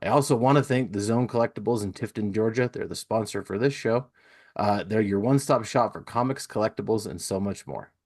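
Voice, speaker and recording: A man's voice: fairly low pitch, a little fast, darkish tone. A man hosting a podcast, chatting casually and giving plugs in an informal, enthusiastic way. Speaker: podcast host.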